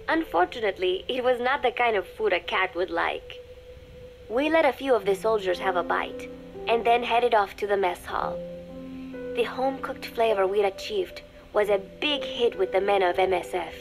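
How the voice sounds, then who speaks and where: A woman voicing a young undercover spy in a video game, dictating a private diary in a measured, confiding, dryly scornful way. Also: medium pitch, gravelly. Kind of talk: scripted dramatic diary narration for a game.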